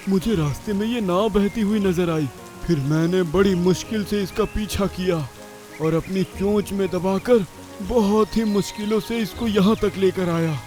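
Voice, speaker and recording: A cartoon father bird, played by a man, talks in a notably high male voice. He is an easygoing idler turned earnest, dramatic in delivery, over soft music. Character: lazy but repentant male father bird. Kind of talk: Hindi cartoon story character acting.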